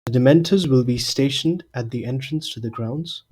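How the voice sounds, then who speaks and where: A man playing an aged headmaster wizard in an audio drama, genial yet grave, giving measured formal oratory in a husky voice. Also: medium pitch, a little mellow, natural voice. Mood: grave, warning. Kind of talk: fan audio drama, formal speech to a hall.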